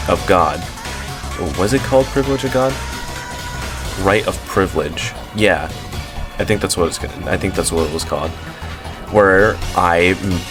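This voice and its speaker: Low-voiced man giving casual commentary. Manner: rambling, self-correcting, many fillers. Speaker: commentary channel host.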